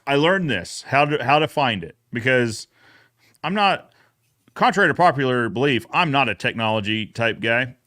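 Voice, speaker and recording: A man's voice: medium pitch, animated, normal speed, pushed and bright, no room sound. Man casually hosting a podcast chat, dry sound. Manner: conversational, addresses viewers directly. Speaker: YouTube host.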